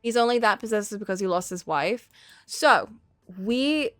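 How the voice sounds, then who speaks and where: A woman's voice: mid-range voice, expressive, normal speed, fairly bright tone, no room sound, soft background music. A woman streaming casual, opinionated commentary on a story game, thinking aloud in a conversational way.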